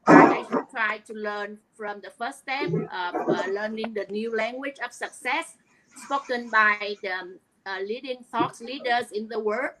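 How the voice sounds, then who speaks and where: A middle-aged woman from Vietnam with a Vietnamese accent, earnestly telling her story as an interview guest, often pausing on fillers. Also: on the high side, a bit bright, slight background noise, rough recording. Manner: earnest, hesitant with many fillers. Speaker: guest, online business coach.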